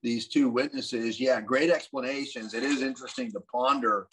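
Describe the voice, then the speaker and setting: A man's voice: medium pitch, steady tone, normal speed, fairly bright tone, a little hiss or hum, rough recording. An older man on a video call from a room at home, talking through ideas in a thoughtful, musing way with many restarts. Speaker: co-host discussing Bible prophecy. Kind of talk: casual video call discussion. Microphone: video call webcam.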